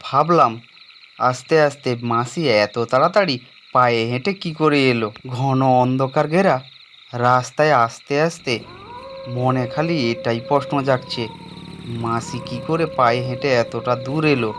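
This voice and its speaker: A man playing an anxious young man with a guilty past, telling and acting out an animated horror story in a dramatic, suspenseful manner. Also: medium pitch, normal speed, noisy background, close to an everyday voice. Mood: uneasy, suspenseful. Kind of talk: dramatized first-person horror story narration.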